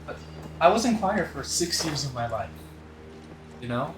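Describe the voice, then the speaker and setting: A man's voice: fairly high pitch, sing-song, moderate pace. A man streaming a game, chatting casually and rambling with restarts as he thinks aloud. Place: room with other people present. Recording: roomy, off-mic, loud game music behind.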